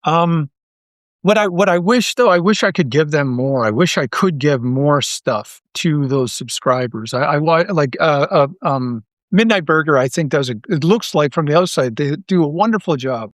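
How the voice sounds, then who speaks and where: Guy on a podcast, voice a bit high, casual and self-deprecating, doubles up words, very dry sound. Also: expressive, normal speed, dry recording. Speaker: interview guest, audio drama creator.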